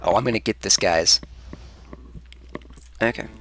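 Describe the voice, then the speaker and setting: A man's voice: fairly low pitch, measured pace, a bit bright, slight background noise. A man hosting a let's play, casually commenting on the game as he plays. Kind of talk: casual game commentary, reading dialogue aloud. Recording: music under the voice.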